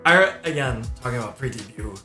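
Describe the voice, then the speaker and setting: A man chatting casually on a livestream, excitable and slangy, acting out what his friends said as he tells stories. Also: medium pitch, a little fast. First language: French speaker. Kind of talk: casual chat, animated storytelling. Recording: roomy stream audio with music behind. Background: background music.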